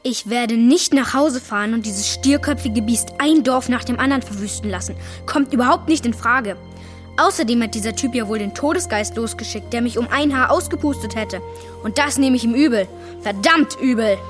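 A woman's voice: a little high, moderate pace, music in the background, close to an everyday voice. A woman playing a plucky, stubborn boy ghost hunter in a children's radio play, eager and determined. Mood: indignant.

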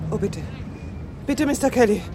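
A woman playing an outwardly compliant, tense and imploring wife in a radio play, measured and insistent. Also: fairly high pitch, background noise, natural voice. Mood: frantic pleading.

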